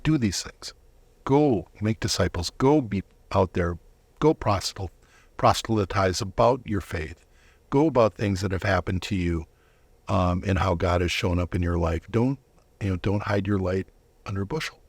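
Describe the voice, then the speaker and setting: A man's voice: sits low, normal speed, slightly rough, dry recording. A middle-aged man telling personal stories as an interview guest, casual and reflective, often restarting his thoughts.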